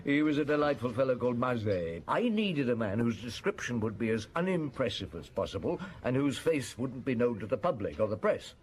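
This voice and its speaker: An actor playing a weary, wry French police chief inspector in a radio drama, speaking conversationally. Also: male voice, medium pitch, very animated, a little fast, few pauses, a little mellow, lightly acted. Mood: reminiscing. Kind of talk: radio drama dialogue and reminiscence.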